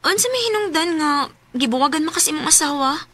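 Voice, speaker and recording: A woman's voice: sits high, expressive, quick pace, bright, forceful tone. A woman with a Cebuano Filipino accent playing a forthright young single mother in her early twenties in a radio drama. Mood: curious, friendly. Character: forthright 21-year-old single mother eager for love.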